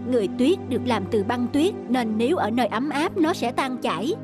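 Woman acting gentle mother, comforting, higher voice, children's cartoon, loud music. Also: lively intonation, a little fast, close to an everyday voice.

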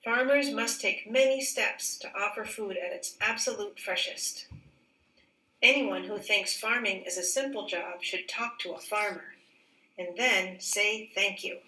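A woman teacher reading a children's picture book aloud in a careful, expressive, clearly phrased way. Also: mid-range voice, a little slow. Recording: roomy echo, distant microphone.

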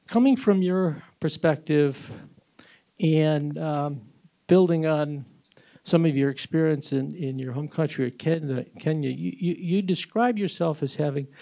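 A man in his sixties moderating a panel discussion, holding a mic and asking long, halting questions with restarts. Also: a little high, gravelly, warm tone. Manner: rambling, hesitant questions with restarts. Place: conference room with audience. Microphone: handheld mic.

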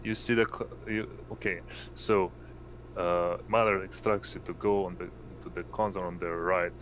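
A man narrating a tabletop roleplaying game as game master, halting as he searches for words. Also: low-pitched, fairly even intonation, fairly bright tone, slight background noise. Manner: halting, often pausing to find words.

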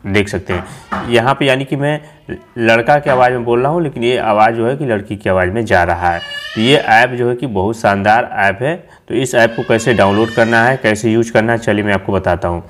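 A man's voice: mid-range voice, brisk. A man narrating a casual app tutorial as host, explaining step by step and repeating himself.

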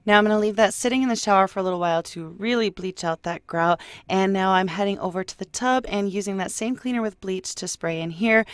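A woman's voice: on the low side, smooth, dry recording. A woman giving a casual, explanatory voice-over as a home cleaning vlogger.

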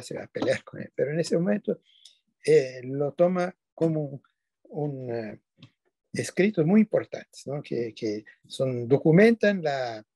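A man's voice: medium pitch, creaky, mellow, husky, no room sound, studio quality. An older man whose first language is not Spanish, giving an online lecture from a dim room at home over a webcam, digressing and often self-correcting with tag questions. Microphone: video call webcam.

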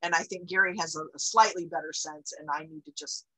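A woman's voice: sits low, fairly even intonation, a little fast, a loud crowd, poor audio. A woman library director, giving a chatty report on a video call with many fillers and restarts.

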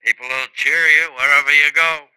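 A sly, bright-voiced frontier sheriff. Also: male voice, on the high side, steady tone, a little fast, clear, resonant tone, no room sound, low-quality recording. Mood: sly, ingratiating. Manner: hesitant, folksy, ingratiating. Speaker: actor in a radio drama. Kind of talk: 1950s radio acting. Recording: vintage 1950s radio recording, dry studio.

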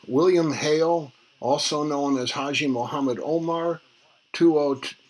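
An older man leaving a rambling, formal phone message in a halting, deliberate way, recorded in a small room. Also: a little high, noisy background. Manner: halting, spells out numbers deliberately. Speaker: caller leaving a voicemail. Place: small cluttered room indoors.

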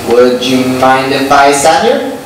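Young male actor as nervous, eager suitor, stage comedy; animated, stammering, heard from afar in a noisy theater. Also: mid-range voice, slow pace. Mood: polite, hopeful. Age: young adult. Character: eager, awkward young man chatting up a stranger. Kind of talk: stage comedy acting, projected. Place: theater stage, far camera. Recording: echoey theater, distant mic, noisy.

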